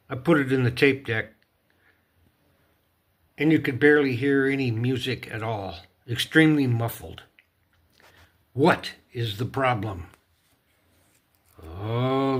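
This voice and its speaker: A man's voice: medium pitch, takes it slowly, pauses often, a bit bright. A man casually narrating a hands-on DIY repair, talking himself through each step in a folksy, playful way. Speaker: host of a DIY repair video.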